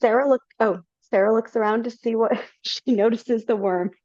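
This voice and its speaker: A woman's voice: a little high, steady tone, normal speed, a little mellow, dry recording. A woman reading the narration in a casual, stumbling way over a video call. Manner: casual, stumbling, laughs between lines. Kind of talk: reading stage directions in a live reading. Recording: dull lo-fi call audio.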